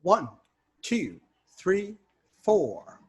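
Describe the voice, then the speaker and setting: A man's voice: high voice, sing-song, extremely slow. A man, an accompanying guitarist, counting in the tempo for a music lesson.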